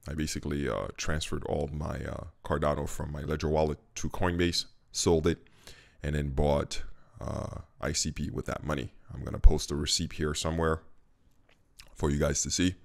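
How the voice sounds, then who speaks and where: A man's voice: rumbling low, level tone, normal speed, creaky. A man in his thirties from Haiti, chatting casually and candidly to camera from his home kitchen into a studio mic, with lots of fillers. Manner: conversational and candid, with frequent fillers. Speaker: vlogger. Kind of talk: casual talking-head vlog. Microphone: studio mic on a stand in front of him.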